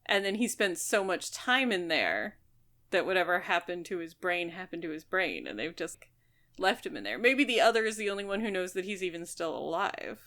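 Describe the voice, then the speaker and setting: A woman co-hosting a podcast, discussing a book casually and bluntly, thinking out loud as she goes. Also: lowish voice, sing-song, moderate pace. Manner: informal, blunt, speculative. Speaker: podcast co-host.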